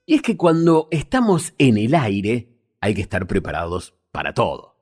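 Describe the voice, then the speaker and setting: A man's voice: lowish voice, wildly swooping pitch, normal speed, darkish tone, breathy edge. A man with an Argentine accent reading scripted documentary narration as a presenter. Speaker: podcast host narrator. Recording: music bed behind voice. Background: background music.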